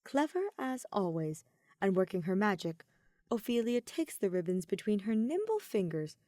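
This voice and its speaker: A woman reading scripted fiction as a narrator, with expressive, measured storytelling. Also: medium pitch, no echo, studio quality. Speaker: podfic narrator.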